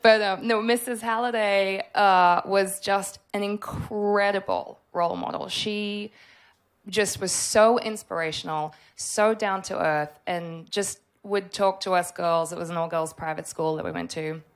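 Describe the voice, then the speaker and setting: A woman from Australia whose first language was Polish, speaking on a convention panel in a thoughtful, halting way with many fillers. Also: medium pitch, fairly even intonation. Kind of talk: casual panel talk.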